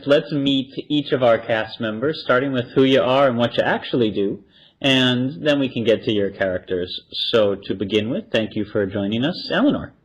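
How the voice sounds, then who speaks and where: A man's voice: mid-range voice, normal speed. A man hosting a podcast, introducing and questioning guests in a conversational interview style. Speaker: podcast host. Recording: dull, band-limited audio.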